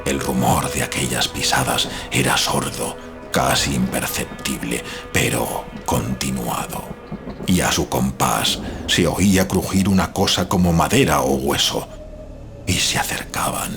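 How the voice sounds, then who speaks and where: Guy with a really deep voice reading a scary tale, solemn n suspenseful, dramatic pauses, music behind him. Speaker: narrator. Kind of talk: dramatic horror story narration.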